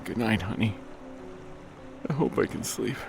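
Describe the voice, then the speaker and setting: A man's voice: on the low side, unhurried, creaky, over music. A man playing an anxious, guilt-ridden man of God in an audio drama, hesitant and stammering. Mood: shaky, weary.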